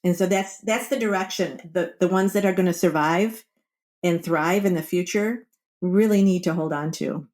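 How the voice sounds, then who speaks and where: A woman's voice: fairly low pitch, moderate pace, darkish tone. A woman speaking as a wellbeing coach in an interview, explaining her advice conversationally and voicing little example quotes. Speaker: wellbeing coach, podcast guest.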